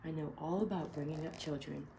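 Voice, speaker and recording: A woman's voice: low voice, fairly even intonation, fairly quick, creaky, mellow, slight background noise, poor audio. A woman voicing a proud, confident mother duck in a picture book read aloud.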